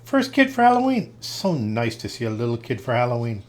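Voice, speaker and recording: A man's voice: mid-range voice, wildly swooping pitch, brisk. A man voicing a friendly, gushing lady in a storybook. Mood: delighted. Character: friendly lady greeting trick-or-treaters in a storybook.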